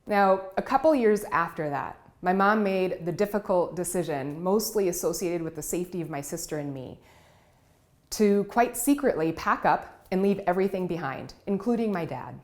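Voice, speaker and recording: A woman's voice: lowish voice, moderate pace. A woman who grew up in Cameroon and Iowa, giving a personal storytelling speech as a keynote speaker. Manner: warm storytelling, vivid and expressive.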